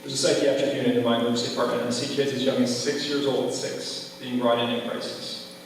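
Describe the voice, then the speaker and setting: Echoey, fast-talking man giving a campaign speech. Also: mid-range voice, flat delivery. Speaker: school board candidate. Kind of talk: closing campaign speech at a public forum. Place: large echoey room at a public forum. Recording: echoey hall from far off, faint noise.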